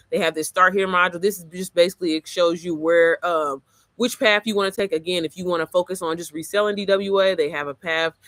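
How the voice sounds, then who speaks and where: A woman's voice: fairly bright tone, no room sound. Chatty woman coach with a manly voice. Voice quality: low, manly-sounding voice. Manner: chatty, profane, calls the viewer girl and baby. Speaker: online business coach. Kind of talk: casual screen-share walkthrough.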